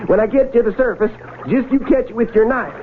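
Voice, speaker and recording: Folksy American farm youth, high voice, excitable and rustic; thin old-radio sound with hiss. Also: male voice, brisk, narrow, tinny audio. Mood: excited. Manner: rustic, folksy, exclamatory talk. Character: excitable folksy country youth, fishing with his friend. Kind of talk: 1970s radio drama acting.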